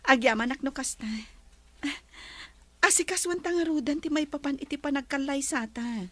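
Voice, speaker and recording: An actress playing a kind lady of the mansion who speaks gently and graciously in a radio drama. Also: sits high, moderate pace. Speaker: employer character.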